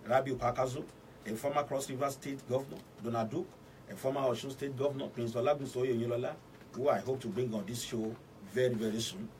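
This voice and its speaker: A Nigerian man hosting a radio show, delivering formal commentary and introductions with deliberate, emphatic phrasing. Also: on the high side. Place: radio studio. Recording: distant mic with faint background noise.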